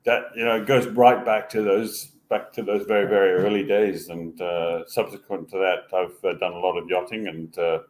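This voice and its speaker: A man in his sixties, from Hong Kong, telling his life story as a podcast guest, halting often with fillers and restarts. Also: low voice, steady tone, rough recording. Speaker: guest, former sail designer. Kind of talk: casual podcast interview.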